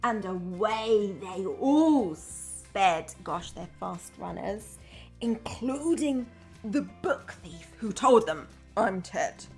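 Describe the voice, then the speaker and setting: A woman's voice: mid-range voice, lively intonation, slow, darkish tone, soft background music. A woman primary teacher reading a storybook aloud to young children, expressively, with simple chatty asides.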